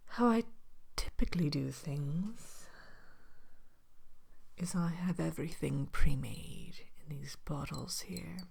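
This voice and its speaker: A woman playing a sly, courteous Evil Queen shopkeeper in an ASMR roleplay, speaking in a soft breathy whisper with a genteel, playfully sinister manner. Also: low-pitched, exaggerated voice. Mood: soft, businesslike. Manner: slow, genteel, playfully sinister, hesitant murmuring.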